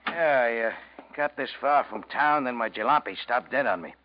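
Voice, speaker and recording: An actor playing a shady stranger in a 1940s radio noir, halting and casual with a faint menace. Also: male voice, mid-range voice, a little hiss or hum, close to an everyday voice. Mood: falsely casual. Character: scarred, shady stranger feigning a stalled car. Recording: muffled old radio broadcast.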